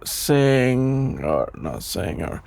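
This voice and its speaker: Shy, sleepy young male best friend for ASMR audio drama, played by a man: unhurried, gravelly vocal fry, hesitant and easily flustered delivery. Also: mid-range voice, sing-song, darkish tone, heavily acted. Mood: flustered, embarrassed. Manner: hesitant, halting, trailing off with sighs and nervous chuckles. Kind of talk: intimate ASMR audio roleplay, improvised-sounding dialogue.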